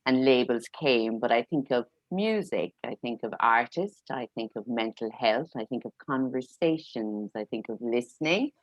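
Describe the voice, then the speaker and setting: A woman's voice: a bass voice, lively intonation, normal speed, few pauses, no echo, lo-fi sound. A woman hosting a podcast, introducing her guest in an effusive, chatty way. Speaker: podcast host. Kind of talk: casual chat.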